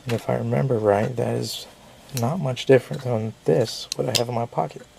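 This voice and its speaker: Gravelly man reviewing a knife. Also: medium pitch, steady tone, brisk, background noise. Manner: rambling, self-correcting explanations. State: a little sick, losing his voice. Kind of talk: casual product review.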